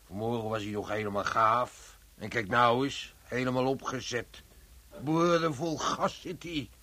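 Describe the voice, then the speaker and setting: Bitter, forceful corpse carrier. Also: male voice, medium pitch, steady tone, measured pace. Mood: fed up, angry. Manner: grumbling, sarcastic, emphatic. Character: bitter, fed-up working man, a corpse carrier. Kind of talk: Dutch radio play acting.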